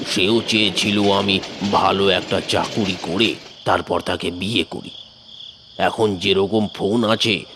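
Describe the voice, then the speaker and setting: A man playing an older village tea-seller who narrates a cartoon tale of his sad youth in a measured way. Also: low voice, fairly bright tone, slight background noise. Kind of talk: dramatic cartoon storytelling.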